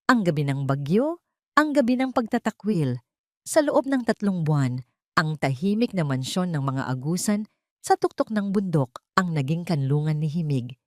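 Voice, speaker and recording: Female drama narrator, lowish voice, swooping intonation, dry close sound. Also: lively intonation, moderate pace, warm tone, dry recording. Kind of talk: dramatic scripted story narration.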